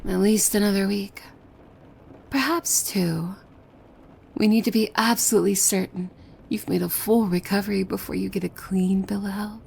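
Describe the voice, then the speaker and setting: A woman playing a possessive, devoted demon maid in an ASMR roleplay, coy and formal, with a soft breathy voice that often slips into whispers. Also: mid-range voice, fairly even intonation, normal speed, slight background noise. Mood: sweetly menacing. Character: possessive, devoted young female demon maid, sweetly menacing. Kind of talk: ASMR roleplay, scripted monologue to the listener.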